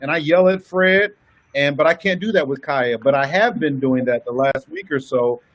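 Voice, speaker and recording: A man, a married guest, telling stories in animated casual talk. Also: on the high side, normal speed. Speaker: guest husband. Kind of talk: casual conversation.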